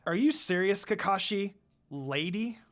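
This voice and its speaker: A composed young ninja woman from an anime fan story, read aloud by a woman. She is reserved and gently teasing, and her pitch moves in a lively way. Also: unhurried, lightly acted. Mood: wry, familiar. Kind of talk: fan fiction character dialogue read aloud.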